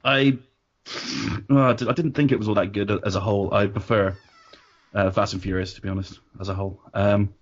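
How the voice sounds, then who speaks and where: Chatty host with lots of ums. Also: male voice, on the low side, fairly even intonation, brisk. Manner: chatty, hesitant, full of ums. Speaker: podcast host. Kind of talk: casual panel discussion. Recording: dull lo-fi sound.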